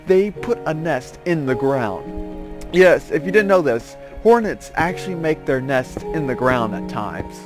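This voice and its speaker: A man telling a casual story as he hosts gameplay, rambling and halting, often repeating and restarting his phrases. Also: a little high, lively intonation, music in the background. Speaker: host of a gaming series.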